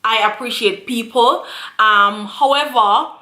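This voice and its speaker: A woman's voice: fairly high pitch, takes it slowly, sharp tone, roomy sound. A woman in her thirties hosting a casual vlog chat, chatty and repeating her phrases. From Jamaica. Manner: chatty, repeats phrases, frequent laughs and fillers. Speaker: YouTube channel host.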